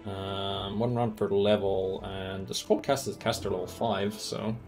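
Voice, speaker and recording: A man with a low, gravelly voice gives relaxed gameplay commentary. He muses aloud and stops for ums while he sorts through items and works out rules. Faint game music plays behind him. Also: unhurried. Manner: thinks aloud with many ums, musing over loot and rules. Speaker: game streamer.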